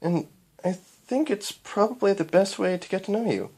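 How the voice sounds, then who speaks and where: A performer voicing a friendly, confident young female pony princess with a gentle, coaxing manner for a story. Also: mid-range voice, lively intonation, normal speed, slightly rough, voice pushed up. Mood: playful.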